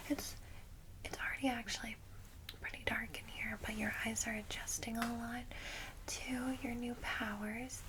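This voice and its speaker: Female ASMR whisperer, kindly vampire guide, unhurried and even in tone, clear background noise. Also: fairly high pitch. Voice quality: soft breathy whisper. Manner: gentle, reassuring, unhurried. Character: kindly vampire secretary welcoming a newly turned vampire. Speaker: ASMR roleplay creator playing a guide to new vampires.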